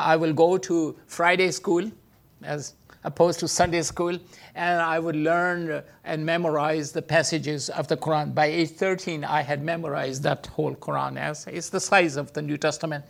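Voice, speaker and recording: A man giving an informal teaching talk, full of hesitations and filler sounds, speaking English with non-native phrasing. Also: vocal fry. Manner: hesitant, many filler sounds, lively pitch jumps. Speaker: speaker teaching about Islam.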